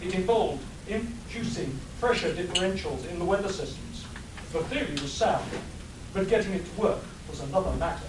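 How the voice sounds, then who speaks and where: A man's voice: high voice, expressive, normal speed. A man playing a laid-back, jaded retired storm chaser in a comic radio play, with a flippant manner. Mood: matter-of-fact. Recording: echoey noisy hall, distant mic.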